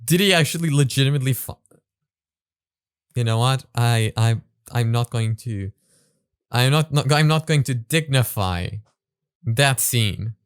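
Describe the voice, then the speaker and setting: A man hosting a reaction video, commenting casually in a halting way that often backtracks, with music behind him. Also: mid-range voice, smooth, no echo, studio quality. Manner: halting, repeats words and backtracks.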